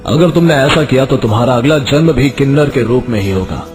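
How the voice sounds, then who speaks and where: A man's voice: mid-range voice, a little fast, natural voice. An actor playing a solemn, benevolent, fatherly king of the Moon who makes stately, formal pronouncements in an acted story. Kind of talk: Hindi moral story acting. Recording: music behind the voice.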